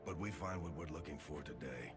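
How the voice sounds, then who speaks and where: An actor playing a grim, weary resistance field commander in a video game cutscene, speaking tersely and gravely. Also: male voice, very deep voice, brisk. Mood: grim, determined. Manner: terse, grave, dramatic delivery. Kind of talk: cinematic video game acting. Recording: loud game soundtrack music underneath.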